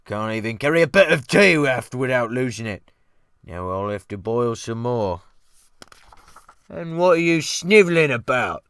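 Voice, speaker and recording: A man voicing a bellicose, sneering ship's cook in a dramatic audiobook, jeering and shouting. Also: medium pitch, lively intonation, measured pace, clean tone, dry recording, studio quality. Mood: indignant, jeering.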